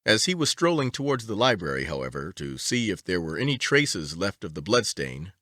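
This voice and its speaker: A man reading a story aloud as an audiobook narrator, with a measured, expressive storytelling delivery. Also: low-pitched, no room sound, studio quality.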